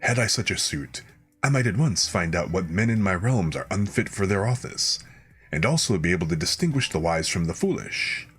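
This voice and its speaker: Man doing a vain, eager storybook emperor, deep-voiced. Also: sing-song, moderate pace, fairly bright tone, slightly rough, soft background music, full character voice. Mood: eager, self-satisfied. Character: vain, clothes-obsessed adult male emperor in a fairy tale.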